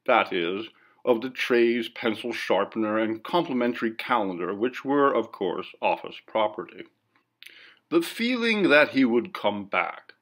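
A man reading a classic ghost story as scripted narration, in a measured, formal manner with acted dialogue. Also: lowish voice, fairly bright tone. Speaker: audiobook reader.

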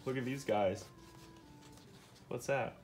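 A man reads a nature picture book aloud in an unhurried way, as a father would to his child. His pitch is mid-range, and music plays behind him. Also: a little mellow. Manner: explains and asks questions as he reads. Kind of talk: interactive read-aloud of a nonfiction picture book.

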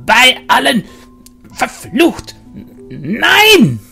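A man voicing a fat, sweaty, irascible male magister who shouts in shrill, furious outbursts. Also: huge pitch swings, very slow, vocal fry, breathy edge, faint music behind, full character voice. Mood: furious ranting.

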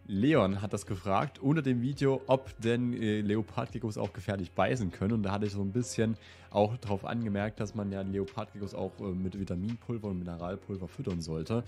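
A man in his twenties with a slight cold, hosting a relaxed question-and-answer vlog in a chatty, easygoing way. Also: on the low side, fairly quick, faint music behind. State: slightly ill. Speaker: host. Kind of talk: casual Q&A vlog. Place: indoor room with plant wall and lit shelves.

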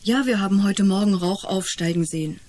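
Woman playing friendly mom greeting kids in an old german radio play, middle pitched voice. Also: a little fast, darkish tone, no echo, close to an everyday voice. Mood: welcoming. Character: friendly mother welcoming visiting children.

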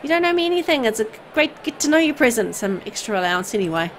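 A woman's voice: on the high side, sing-song, fast, a bit bright, slight background noise, pitched above the performer's own voice. A woman voicing a young game heroine who is wary, a little exasperated and awkward, but kind. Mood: friendly, awkward.

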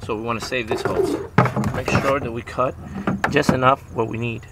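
A man's voice: medium pitch, fairly even intonation, normal speed, heavy background noise. A man giving a casual step-by-step how-to on his boat outdoors, talking plainly with fillers. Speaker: DIY boat video host.

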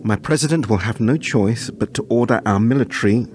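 An actor in a radio play playing a grave ambassador with a formal, measured manner. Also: male voice, medium pitch, lightly acted. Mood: grave warning. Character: grave, formal male Middle Eastern ambassador giving warning. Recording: clear crowd of voices behind.

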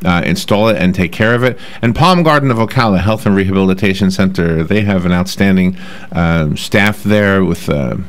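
A chatty male radio host with a New York accent, speaking into a studio desk mic. His voice sits low and he laughs easily between points. The talk stays loose and conversational. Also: normal speed. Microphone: desk microphone.

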